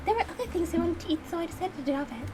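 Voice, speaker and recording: A woman's voice: very high-pitched, animated, very fast, background noise, voice pushed up. A woman voicing a sweet, polite, hesitant young heroine in a visual novel game. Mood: apologetic, earnest. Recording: music behind the voice.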